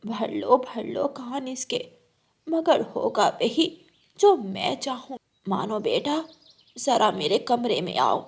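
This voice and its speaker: A cruel old mother-in-law bird from a cartoon played by a woman. She scolds and commands with open scorn. The voice is female, slightly husky and greedy in temper. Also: fairly high pitch, big pitch swings, takes it slowly, darkish tone, full character voice. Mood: bossy, scheming. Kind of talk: Hindi cartoon story acting.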